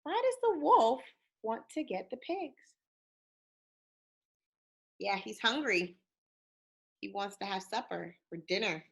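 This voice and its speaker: A woman's voice: fairly high pitch, sing-song, vocal fry, breathy edge. A woman reading a storybook aloud for children in a dramatic manner, speaking directly to her listeners. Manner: dramatic, pausing to ask listeners questions. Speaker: storybook reader. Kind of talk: animated children's read-aloud.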